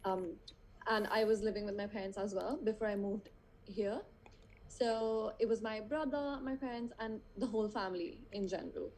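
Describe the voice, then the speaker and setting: A woman's voice: medium pitch, fairly even intonation, moderate pace, a little mellow, slight background noise, low-quality recording. Young female student, mid-range voice, casual and slightly hesitant conversational delivery. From India. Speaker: student participant in a group discussion.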